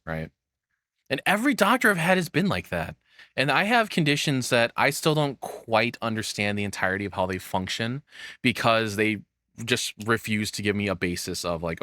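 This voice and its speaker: Guy doing casual reaction rant, lowish punchy voice, swears a lot, really dry sound. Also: sharp tone, dry recording. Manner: swears freely, informal. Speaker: reaction video host. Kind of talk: casual, rambling reaction commentary.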